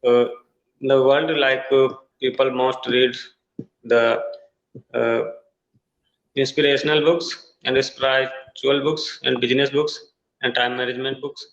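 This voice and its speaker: A man from India with an Indian English accent, a Hindi native speaker learning English, talking haltingly in an online tutoring conversation over a video call. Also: mid-range voice, little pitch movement, vocal fry, a bit bright. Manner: halting, searching for words with many uh fillers. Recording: echoey, dull video-call audio.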